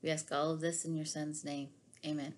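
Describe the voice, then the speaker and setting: A middle-aged woman reading devotional material aloud in a measured, deliberate way with pauses between phrases. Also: sits low, little pitch movement. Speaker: devotional reader. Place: small living room at home, indoors.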